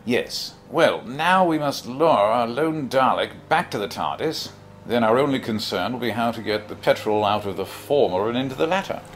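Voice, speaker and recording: Audio drama lead: a male actor as a whimsical, flippant alien adventurer, mid-pitched and very animated, with dry precise wit. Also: normal speed, faint music behind, natural voice. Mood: wry, musing. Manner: whimsical, wry, fussily precise.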